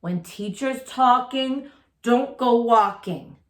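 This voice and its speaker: A woman's voice: on the high side, slow, roomy sound. A woman voicing an eager, well-meaning young boy shark from a children's storybook, bouncy and exclaiming. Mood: excited.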